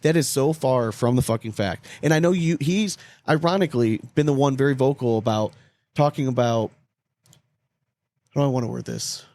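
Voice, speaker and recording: A man's voice: medium pitch, normal speed, a little mellow. A man co-hosting a podcast in casual, profane banter, talking in an emphatic, rambling and sarcastic way. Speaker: podcast co-host.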